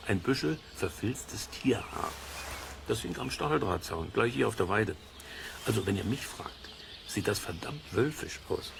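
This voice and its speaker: A man's voice: lowish voice, gravelly, background noise, exaggerated voice. An actor playing a folksy, curious man who muses and chats to listeners in a children's audio drama. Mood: quietly excited. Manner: musing, chuckling, colloquial, talks to listeners. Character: folksy, curious amateur investigator, chatty middle-aged man. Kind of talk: German children's audio drama, chatty explaining.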